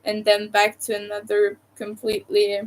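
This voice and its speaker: A woman's voice: medium pitch, monotone, normal speed, low-quality recording. A young adult woman with a Montreal accent, chatting loosely with viewers on her live stream, rambling and hesitant, with many fillers. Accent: Montreal Quebec. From Quebec, Canada.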